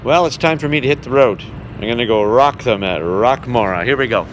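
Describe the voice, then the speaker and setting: A man speaking earnestly in measured phrases as a travelling singer in a documentary. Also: medium pitch. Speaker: travelling singer speaking about his visits to seniors. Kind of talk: documentary talk to camera. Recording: noisy, vehicle and outdoor background hum.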